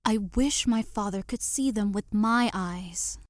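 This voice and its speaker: A woman's voice: medium pitch, measured pace, no room sound, studio quality, lightly acted. A woman playing a young noblewoman in an audio drama, a respectful but stubborn daughter who speaks earnestly and politely. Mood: defiant. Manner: polite, earnest, quietly defiant. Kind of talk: modernized Shakespeare audio drama acting.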